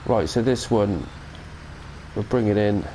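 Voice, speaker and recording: British guy full of cold, rambly game mod review, lowish voice, soft faint hiss behind him. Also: measured pace, rough recording. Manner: chatty, rambling, self-correcting. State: has a cold. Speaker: gaming channel host. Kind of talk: casual mod review commentary.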